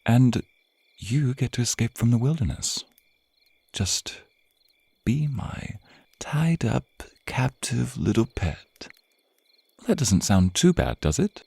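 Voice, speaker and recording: A man's voice: mid-range voice, very animated, slow pace, creaky, long pauses, a little mellow, slightly rough, no room sound, exaggerated voice. A man voicing a suave, predatory vampire in an intimate ASMR roleplay, with a teasing, possessive, theatrical drawl and murmured asides. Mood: persuasive, possessive.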